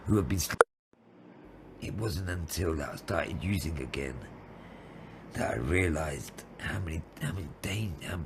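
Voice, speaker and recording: A man in his fifties giving a halting, reflective personal testimony. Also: deep voice, background noise. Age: 50s. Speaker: interviewee telling own story of addiction and homelessness.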